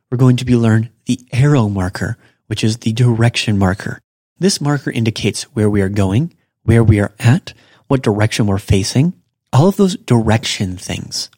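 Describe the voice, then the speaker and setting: A man hosting a podcast, giving a casual language lesson and slowly repeating example phrases. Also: mid-range voice, a little mellow, dry recording, clean studio sound. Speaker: podcast host and Japanese teacher.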